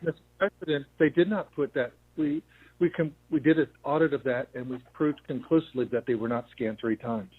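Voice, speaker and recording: A man, a state election official, calmly defending his office's findings on a phone call. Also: medium pitch, slightly rough. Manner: measured, defensive explanation. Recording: tinny phone line.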